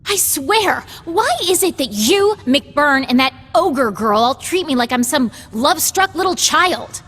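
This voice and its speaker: A defiant young woman in a game cutscene, her high voice ringing in a long reverb. She is easily exasperated and sharp-tongued, swinging widely in pitch, with a protective streak under the irritation. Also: expressive, unhurried. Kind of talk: video game cutscene acting.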